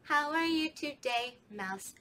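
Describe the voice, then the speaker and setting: A woman's voice: high-pitched, expressive, takes it slowly, pushed and bright. A young woman, a children's librarian, leading a storytime with songs, talking to kids at home in a sing-song way in a library children's room.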